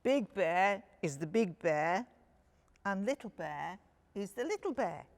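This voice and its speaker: A woman's voice: medium pitch, expressive, slow pace. A woman reading a picture book to young children, phrasing things simply and speaking to her listeners directly. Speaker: children's storyteller.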